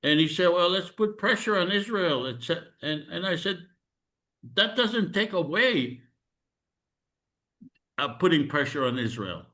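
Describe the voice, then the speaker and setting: A man with a somewhat high, bright, forceful voice talks over a video call. He tells his story earnestly and lands his points firmly. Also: animated, a little slow, long pauses. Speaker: meeting organizer. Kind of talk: recounting events in an online meeting.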